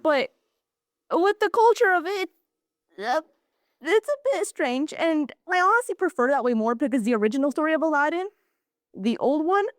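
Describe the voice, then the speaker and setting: A teenage girl hosting a student podcast, chatting casually with lots of filler words and lively opinions. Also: high voice, normal speed, pauses often, no echo, studio quality. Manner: chatty, many filler likes. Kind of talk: casual chat.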